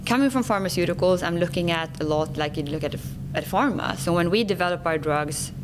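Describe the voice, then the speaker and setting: A woman's voice: fairly low pitch, fairly quick, background noise. Swedish-accented woman, earnest and explanatory. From Sweden. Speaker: guest, company executive. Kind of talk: podcast interview.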